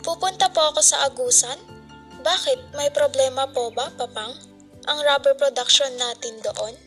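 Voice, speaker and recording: A woman's voice: high voice, normal speed, a bit bright, soft background music. A woman playing a spirited, cheeky young daughter who loves motorbikes, in an amateur radio drama with a Filipino accent. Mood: questioning, dutiful. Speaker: student radio drama actor.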